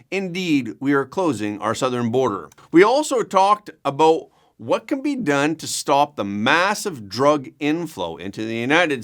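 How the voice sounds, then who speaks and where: A man reading scripted news as an anchor, with a measured, emphatic delivery. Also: on the high side, fairly bright tone.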